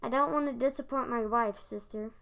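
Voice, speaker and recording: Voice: mid-range voice, a little fast, fairly bright tone, close to an everyday voice, low-quality recording. A child of about ten acting as a proud but discouraged young husband in a school radio play. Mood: downcast. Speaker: fourth grade student actor.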